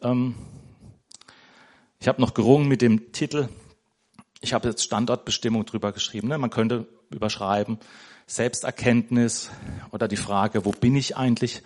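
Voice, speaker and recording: A man's voice: medium pitch, fairly even intonation, moderate pace, long pauses, darkish tone. A man preaching an informal sermon in a church, talking conversationally, searching for words and ending most sentences with a questioning tag. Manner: conversational, tags nearly every sentence with "ne?". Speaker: lay preacher.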